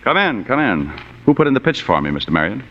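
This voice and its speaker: An actor playing a wisecracking, hard-boiled private detective in a 1940s radio noir, with a dry, sardonic delivery. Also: male voice, medium pitch, sing-song, fast, a little hiss or hum, lightly acted. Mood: sardonic, cool. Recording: dull vintage radio broadcast recording.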